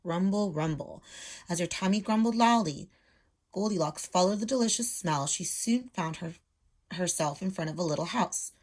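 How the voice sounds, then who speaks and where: A woman's voice: fairly low pitch, normal speed, a little mellow. A woman in her thirties speaking as a teacher, explaining step by step and reading a storybook aloud to children at home. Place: small room at home.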